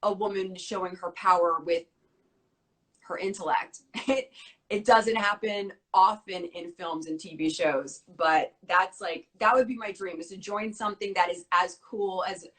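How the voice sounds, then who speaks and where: A young adult woman talking in a casual interview, chatty and quick with lots of filler words, her voice worn from losing it. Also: on the low side, fairly bright tone. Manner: fast, bubbly talk with many "like" fillers. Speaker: interview guest.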